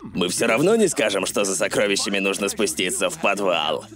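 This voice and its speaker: Swooping cartoon villain chameleon. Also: male voice, low voice, big pitch swings, brisk. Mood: blustering. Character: scheming bossy chameleon pirate villain with a long tongue. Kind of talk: cartoon dub acting. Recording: loud music behind.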